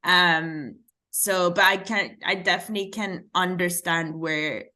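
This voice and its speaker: A young woman from France with a hard-to-place French and British accent, reflecting as a guest in a casual interview, often pausing on "like" and "um.". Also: fairly low pitch, fairly even intonation, sharp tone. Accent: mixed French and British. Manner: reflective, frequent fillers like "like" and "um".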